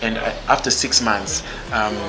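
Man, young, outspoken, fairly low voice, music in the background. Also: fairly even intonation, a little slow, poor audio. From Zimbabwe. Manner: outspoken and emphatic. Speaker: podcast guest. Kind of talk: casual interview talk. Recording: music playing clearly underneath.

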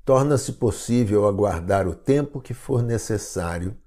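Low, slow Brazilian man guiding meditation. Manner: calm, soothing, deliberate. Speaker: meditation guide. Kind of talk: guided meditation narration.

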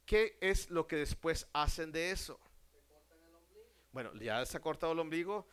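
A man preaching a sermon to a congregation, putting questions to the audience and answering them himself, often dropping to a hush at the end of a phrase. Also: on the high side, lively intonation, clear, resonant tone. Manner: slow and deliberate, drops to a hush at ends of phrases.

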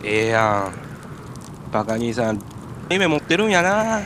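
A man's voice: medium pitch, very animated, slow pace. A man with a Kansai accent playing a hungry, freezing, silly stranded climber in an amateur comic radio drama, with absurd earnestness. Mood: dreamy. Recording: noisy amateur recording.

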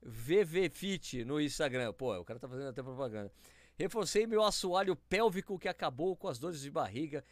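A man's voice: fairly high pitch, a little fast, dry recording. A man with a Brazilian accent chatting casually as a podcast co-host, telling stories and reading listener messages aloud. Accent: Brazilian Portuguese. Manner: animated storyteller, reads listener messages aloud. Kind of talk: casual chat among running friends.

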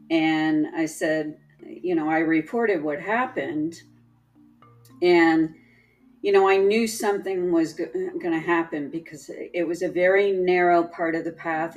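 A woman telling a personal story in casual chat, halting and restarting often, with a trained, projecting voice. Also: sits low, darkish tone. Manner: slow, halting, many restarts.

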